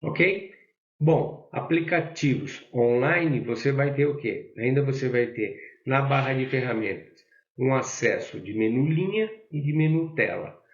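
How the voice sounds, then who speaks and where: Man with a gravelly voice, echoey room. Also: mid-range voice, animated, a little slow. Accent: Brazilian Portuguese. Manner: explanatory, keeps checking in with "tá?". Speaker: software instructor. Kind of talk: screen-share software tutorial. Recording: echoey, dull lo-fi audio.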